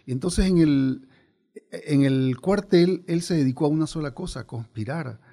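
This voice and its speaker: An older man with a Venezuelan accent speaks as an interview guest. His voice has a noticeable fry and a dark, soft tone. He tells stories in a conversational way. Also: fairly high pitch, moderate pace. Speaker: interview guest, biographer and former politician.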